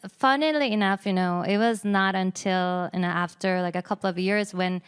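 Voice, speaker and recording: A woman in her forties speaks English with a Korean accent, her voice smooth and clear. She talks casually on a conference panel, with loose phrasing, many "you know"s and a self-deprecating touch. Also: mid-range voice, moderate pace. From South Korea. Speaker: game company executive. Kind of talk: panel discussion.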